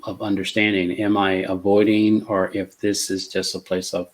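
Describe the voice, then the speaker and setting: Calm, measured man with a low voice. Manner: measured, calm, with many fillers and restarts. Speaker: co-host. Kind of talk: casual conversation. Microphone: video call.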